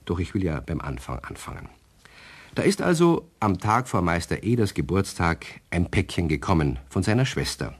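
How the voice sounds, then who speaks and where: A man narrating a German radio play as a storyteller, with a deep, husky voice and expressive scripted delivery. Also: moderate pace.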